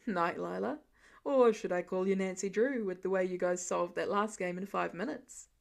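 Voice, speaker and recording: A woman's voice: on the low side, moderate pace, vocal fry, pitched below the performer's own voice. A woman voicing a family dad in a story, with wry, playful banter. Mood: fond teasing. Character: teasing, mock-indignant family dad.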